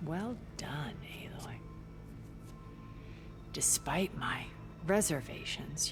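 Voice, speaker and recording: A poised, wistful woman from a video game, speaks in a low register with careful, softly breathed phrasing. Her manner is formal and controlled, and music plays clearly behind her. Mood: gracious.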